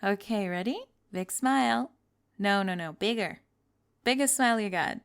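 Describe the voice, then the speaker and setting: A woman's voice: medium pitch, animated, moderate pace, gravelly, smooth, dry recording, clean studio sound. A woman acting a famous young pop star on a date in an ASMR roleplay, confiding and hesitant, kind and playful but world-weary. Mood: sweet, teasing. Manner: confiding, hesitant, playful, trailing into soft murmurs. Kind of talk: intimate ASMR roleplay audio, one-sided date conversation.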